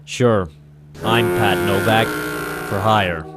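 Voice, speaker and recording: An actor playing a cynical, wisecracking waterfront operator in a 1940s radio noir drama, deadpan and terse. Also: male voice, on the low side, takes it slowly, very noisy. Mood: sardonic, tough. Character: cynical hard-boiled waterfront private operator, male, wisecracking. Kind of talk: 1940s radio noir first-person narration and dialogue. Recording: 1940s radio broadcast recording.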